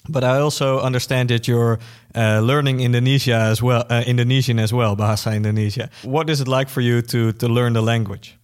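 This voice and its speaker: A man interviewing a guest, asking questions in a friendly way and filling pauses with "uh". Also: mid-range voice, fairly even intonation, smooth.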